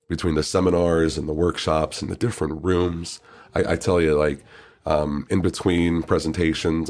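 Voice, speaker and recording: A man's voice: a bass voice, a little mellow. A congested man hosting a podcast, chatting loosely, swearing and laughing often. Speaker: podcast host. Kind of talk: casual chat.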